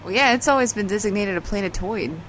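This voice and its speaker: A woman's voice: medium pitch, quick pace, fairly bright tone, slight background noise, natural voice, poor audio. A woman playing an exasperated, dry-witted character in casual audio drama banter, sardonic and matter-of-fact.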